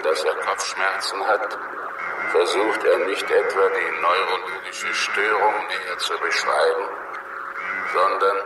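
A vast, coolly superior alien cloud intelligence in an old radio drama. The voice is low and very slow, with formal, evenly stressed phrases. It comes through as a distant transmission buried in loud noise. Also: male voice, echoey room. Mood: detached, puzzled. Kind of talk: 1960s German science fiction radio play.

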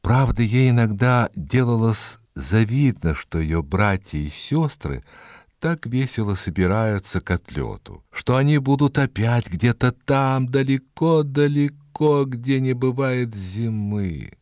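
A man narrating a fairy tale expressively, in a measured way with dramatic pauses. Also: mid-range voice, lively intonation, darkish tone, no room sound, studio quality. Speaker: storyteller. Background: music.

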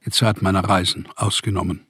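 A melancholy exiled writer in a radio play, weary and brooding. The low voice is husky and measured, mourning a lost home. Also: male voice, flat delivery, sharp tone, dry recording, studio quality, heavily acted. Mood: weary, sorrowful. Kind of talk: literary radio play monologue.

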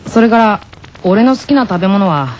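Woman playing a gentle teenage boy, anime radio drama, playful teasing, animated intonation, slight background noise. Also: medium pitch, moderate pace, natural voice. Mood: playful mimicry. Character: gentle teasing teenage tennis boy mimicking a cocky junior.

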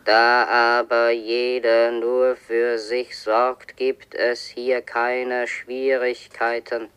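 An actor playing a sober, troubled man who speaks a measured, halting monologue in a 1970s radio play. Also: mid-range voice, monotone, a bit bright, clean tone. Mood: resigned, reasoning. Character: sober, troubled man recording his fateful decisions.

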